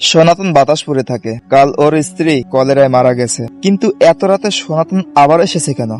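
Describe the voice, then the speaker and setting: A man's voice: fairly high pitch, lively intonation, fairly quick, darkish tone, faint music behind, close to an everyday voice. A man acting a troubled village doctor who narrates his own ghost story in a scripted, suspenseful horror style. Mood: grave, suspenseful.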